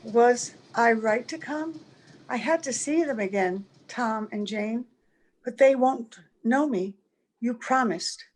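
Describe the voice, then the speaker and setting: A woman playing a wistful, gentle, secretly sad young-looking woman in an old-time radio drama. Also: a little high, takes it slowly. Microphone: video call. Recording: faintly noisy video-call recording.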